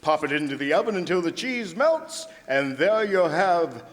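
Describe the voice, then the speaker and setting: A man acts as a perky radio pitchman from the 1940s, high-voiced and swooping with enthusiasm. His theatrical sales delivery carries through a reverberant hall. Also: big pitch swings, moderate pace. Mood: eager selling. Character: perky old-time radio pitchman selling canned meat. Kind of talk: 1940s radio commercial announcing. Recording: echoey hall stage, faint noise.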